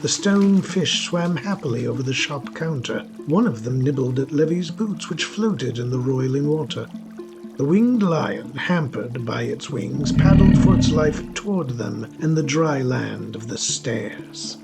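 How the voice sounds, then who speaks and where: A man's voice: mid-range voice, lively intonation, unhurried, creaky, loud music behind the voice. A man reading scripted narration for a fantasy story, as its narrator.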